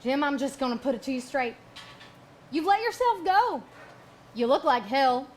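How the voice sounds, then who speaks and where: A woman acts a cranky, folksy starship doctor in a high-pitched voice. The delivery is blunt and exasperated stage comedy, with faint noise in the background. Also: very animated, moderate pace, darkish tone. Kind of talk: comic stage parody acting.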